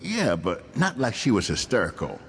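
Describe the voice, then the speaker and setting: An actor playing a laid-back bar owner in a radio drama, talking in a casual, understated, slightly hesitant way about a worry for a friend. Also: male voice, on the low side, wildly swooping pitch, normal speed. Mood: concerned, earnest. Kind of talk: modern radio drama acting. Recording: loud background music and ambient noise. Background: music and ambient noise.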